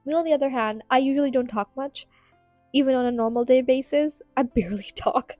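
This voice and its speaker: A woman's voice: high voice, fairly quick, a little mellow, dry recording. Woman, podcast host, rambling, giggly, worn voice, faint music behind. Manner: chatty, giggly, rambling with fillers. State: tired, strained voice. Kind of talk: casual solo podcast ramble.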